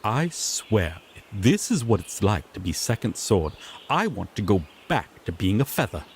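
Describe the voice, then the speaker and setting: Sassy, slow-talking griffin knight, acted by a man. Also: lowish voice, big pitch swings, a little hiss or hum. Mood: exasperated, flustered. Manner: pompous proclamations slipping into flustered, sardonic grumbling. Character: sassy, exasperated male griffin knight, newly promoted. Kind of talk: acted fantasy audio roleplay.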